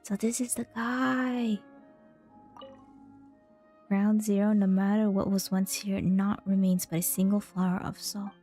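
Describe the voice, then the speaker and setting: A woman streamer plays through a game with faint music behind her. She talks very slowly in a medium pitch, murmuring short, casual reactions as if to herself. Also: level tone, dark tone. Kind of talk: casual playthrough commentary, reading game text aloud.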